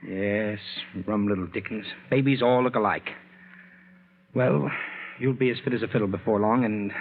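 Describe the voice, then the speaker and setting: A man's voice: on the low side, moderate pace, natural voice. A young man playing a weak, evasive, impatient husband and lover in 1940s radio melodrama, urgent and faltering. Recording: muffled noisy 1940s radio recording.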